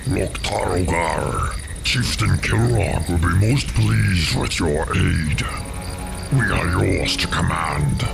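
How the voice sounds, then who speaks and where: An actor playing a weary, loyal, battle-worn orc warrior in a fantasy game. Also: male voice, sits low, slow, gravelly, over music, full character voice. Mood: solemn respect. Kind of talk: scripted fantasy game cutscene acting.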